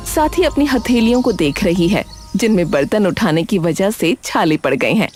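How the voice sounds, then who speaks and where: A woman serves as narrator of a cartoon story. She reads scripted narration line by line. Music plays clearly in the background. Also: mid-range voice, lively intonation, moderate pace, a little mellow.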